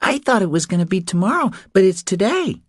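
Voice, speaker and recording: Man putting on an eager, high little girl. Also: very animated, brisk, dry recording, clean studio sound. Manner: earnest, pleading. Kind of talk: audiobook character dialogue.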